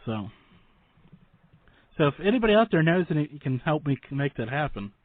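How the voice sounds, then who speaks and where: A man's voice: fairly high pitch, sing-song, brisk, no echo. A man hosting a casual interview, chatty and often repeating or interrupting himself. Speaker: talk show host, interviewer. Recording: dry, narrow phone-like sound.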